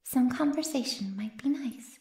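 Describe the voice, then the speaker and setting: A woman's voice: high voice, measured pace, dark tone. Coy echoing ancient vampire woman, often whispering, acted by a woman. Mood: smug, musing. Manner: coy, breathy murmurs that often drop to a whisper. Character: ancient predatory female vampire, playfully menacing, smugly teasing her captive. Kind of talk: intimate ASMR roleplay acting. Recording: roomy cave-like echo.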